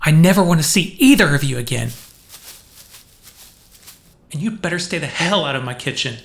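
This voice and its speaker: A man's voice: a little high, lively intonation, normal speed, vocal fry, slight background noise. A man in an audio drama playing a hot-tempered, wounded lover who protests with raw emotion. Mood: furious. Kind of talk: scripted audio drama acting.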